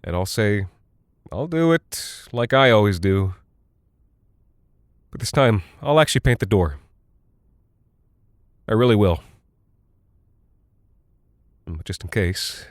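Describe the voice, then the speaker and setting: Anxious pilot, low-pitched, halting, tender but uneasy, audio drama monologue, dry close sound. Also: male voice, animated, dry recording. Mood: uneasy, reassuring himself. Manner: halting, nervous, rambling, forced reassurance. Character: anxious husband, cargo pilot recording a message for his wife.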